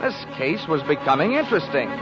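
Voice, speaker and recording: A man's voice: fairly high pitch, sing-song, a little fast. A male actor playing a cocky, wisecracking insurance investigator in a 1940s radio drama, with a dry, sardonic delivery. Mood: wry narrating. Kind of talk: 1940s radio detective narration and acting. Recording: muffled 1940s radio recording, music behind.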